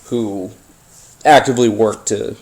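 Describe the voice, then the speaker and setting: A man hosting a paranormal podcast, telling his story informally in a halting, rambling, conspiratorial way. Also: on the low side, fairly even intonation, darkish tone. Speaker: podcast host. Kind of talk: informal first-person storytelling monologue, paranormal audio drama.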